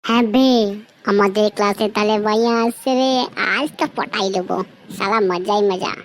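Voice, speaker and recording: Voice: medium pitch, normal speed, slight background noise, lightly acted. A performer voicing a cheeky, girl-crazy male schoolboy who is too old for class, bantering in brash slang for a comedy cartoon. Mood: excited, lecherous.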